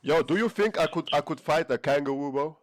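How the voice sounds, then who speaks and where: A man's voice: fairly high pitch, fairly quick, no room sound. A man chatting with strangers on a video chat app, joking around and calling everyone "bro". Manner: teasing, says "bro" constantly.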